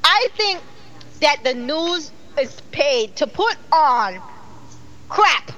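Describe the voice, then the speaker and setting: High-voiced, exclaiming woman host. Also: extremely slow, muffled recording. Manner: exclaiming, animated, laughs often. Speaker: host of an online talk show. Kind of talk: casual live-stream chat.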